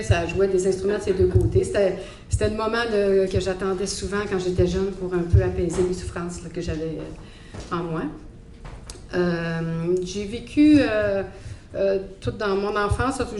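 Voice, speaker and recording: Quebec French woman sharing a testimony, halting and thoughtful, mid-pitched, recorded in a reverberant hall. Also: steady tone, a little mellow. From Montreal, Quebec. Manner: hesitant, reflective, many fillers. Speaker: guest speaker giving a faith testimony. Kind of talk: personal testimony talk. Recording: echoey hall, faint background noise.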